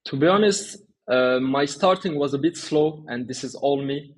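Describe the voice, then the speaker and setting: A man's voice: medium pitch, normal speed, poor audio. A man, answering interview questions in a conversational way. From Turkey and France. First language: Turkish and French. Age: 20s. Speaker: interview guest, small agency owner.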